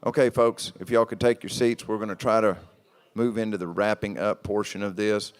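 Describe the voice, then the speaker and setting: Low-voiced man moderating a county meeting, polite and folksy. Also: steady tone, normal speed, clear, resonant tone. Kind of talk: addressing an audience.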